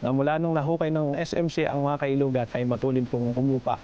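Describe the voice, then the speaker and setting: A man's voice: medium pitch, level tone, fairly quick, warm tone, lo-fi sound, slight background noise. A Filipino man who works on the river, speaking earnestly in a testimonial. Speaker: river community worker giving a testimonial. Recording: music under the voice. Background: background music.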